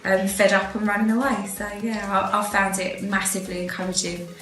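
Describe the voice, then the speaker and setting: Reflective woman, even-toned. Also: medium pitch, fairly quick. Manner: reflective, relaxed, casual fillers. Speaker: community development worker. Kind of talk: unscripted testimonial. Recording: roomy echo with faint background music.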